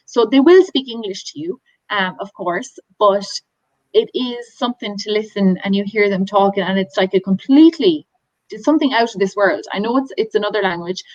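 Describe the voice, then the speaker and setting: A woman's voice: mid-range voice, no echo, dull audio. An Irish woman from a tour company, chatting enthusiastically through a slide presentation with lots of ums and restarts. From Ireland. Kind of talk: presenting slides on a video call.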